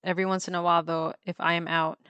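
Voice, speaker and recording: A woman with a slightly low voice chats away while she draws on a livestream. She laughs easily and keeps pausing on ums and half-finished words. Her voice is close to the mic and dry, with no room sound. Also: little pitch movement, pushed and bright, clear, resonant tone, dry recording. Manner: chatty, laughs often, many ums and restarts. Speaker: livestreamer drawing on a tablet. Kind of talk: casual chat while drawing.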